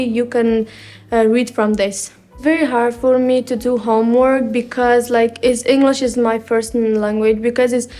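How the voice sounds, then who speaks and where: A teenage girl from Afghanistan, telling her school story in halting, simple learner English with many pauses. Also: on the high side, a little mellow. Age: teens. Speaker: student. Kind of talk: personal testimony.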